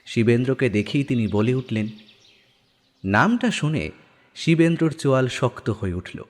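A man's voice: mid-range voice, expressive, normal speed. A man narrating a scripted audio story in a dramatic style. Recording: long studio reverb, background music.

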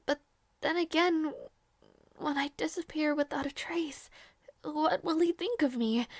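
A woman playing a devoted, anxious young maid in an audio roleplay, speaking softly and politely with halting hesitation. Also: high voice, no room sound. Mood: sad, wistful.

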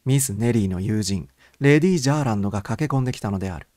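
A man's voice: fairly low pitch, expressive, rapid-fire, darkish tone, no echo, clean studio sound. A man reading a first-person story as a scripted audiobook narration.

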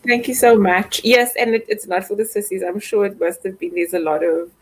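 A woman's voice: medium pitch, fairly even intonation, fairly quick, husky, poor audio. A woman moderating an online panel discussion, friendly and hesitant with many fillers, over a video call.

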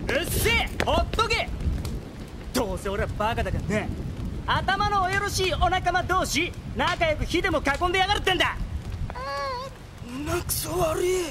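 A man playing a spoiled, hot-headed teenage noble boy in an anime audio drama, with rough, petulant, slangy speech. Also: very high-pitched, expressive, normal speed, long pauses, noisy background. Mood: sulking.

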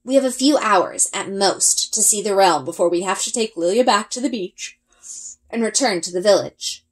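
A woman reading a first-person children's adventure story aloud in an expressive storytelling style. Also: medium pitch, moderate pace. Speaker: read-aloud reader, elementary school.

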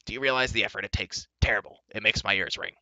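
A man's voice: lowish voice, steady tone, fast talker, sharp tone, no echo. A man voicing a grumpy, scowling dwarf who grumbles and complains emphatically in an audiobook story. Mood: exasperated.

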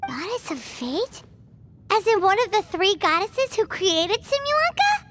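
Squeaky, very high childlike fairy companion. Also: female voice, sing-song, unhurried, very noisy, exaggerated voice. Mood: bewildered. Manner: speaks of self in third person. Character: small childlike floating fairy companion, excitable, talkative. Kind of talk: anime game dubbing.

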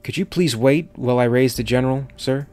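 A man's voice: mid-range voice, a little fast, darkish tone, soft background music, voice pushed up, lightly acted. A man voicing a very young, nervous military lieutenant in an audiobook, deferential and hesitant. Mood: nervous, polite. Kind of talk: audiobook character dialogue.

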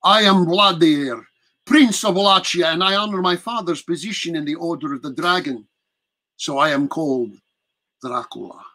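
A man voicing an aged aristocratic vampire prince who speaks slowly and commandingly. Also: fairly high pitch, bright, forceful tone. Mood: imperious. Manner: slow, deliberate, commanding.

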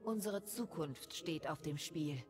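Commanding elf high priestess. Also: female voice, animated, unhurried, over music. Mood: solemn, resolute. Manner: solemn, commanding, declamatory. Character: fierce, regal female elf high priestess and war leader. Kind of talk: video game voice acting.

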